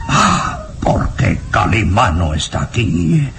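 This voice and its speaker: An actor playing a frightened professor in an old radio serial, talking to himself in halting, trembling gasps as he tries to stay calm. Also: low-pitched, vocal fry, background noise, exaggerated voice. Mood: fearful. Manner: trembling, halting, gasping self-talk. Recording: radio drama with music underneath.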